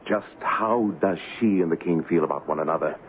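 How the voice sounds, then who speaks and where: Man playing low-voiced, courtly royal impostor. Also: a little fast, close to an everyday voice. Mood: candid. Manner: formal, composed, courtly. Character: earnest, courteous gentleman posing as a king. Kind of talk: 1970s radio drama acting and narration. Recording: muffled 1970s radio broadcast, loud noise.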